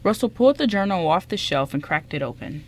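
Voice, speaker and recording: A woman's voice: on the low side, sing-song, brisk. A woman reading scripted narration for an amateur radio drama as its narrator.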